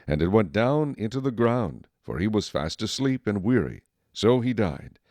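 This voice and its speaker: A man's voice: lowish voice, big pitch swings, normal speed, a little mellow, no echo. A man narrating a dramatized Bible story as scripted, solemn storytelling.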